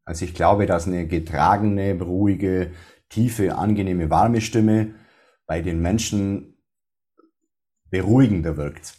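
A man with a deep bass-baritone voice and a faint Swabian accent, talking in an interview in a measured way with many restarts. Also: dark tone. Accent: slight Swabian. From Swabia, Germany. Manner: measured, with frequent restarts and fillers. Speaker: funeral speaker. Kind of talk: casual interview talk.

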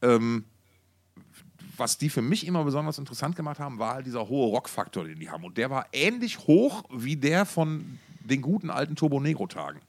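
A man's voice: mid-range voice, very animated, brisk. A man co-hosting a music podcast in casual, slangy talk, often thinking aloud and repeating himself mid-phrase. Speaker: podcast co-host.

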